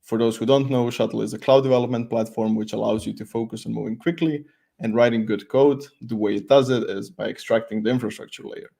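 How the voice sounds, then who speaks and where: A young man speaks as a presenter at an informal tech event. His voice has a marked creak to it. He is self-deprecating and jokey as he goes. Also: medium pitch, fairly even intonation, quick pace, dark tone. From Croatia. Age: 20s. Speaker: conference speaker, developer advocate. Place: at home, makeshift desk setup, remote video call.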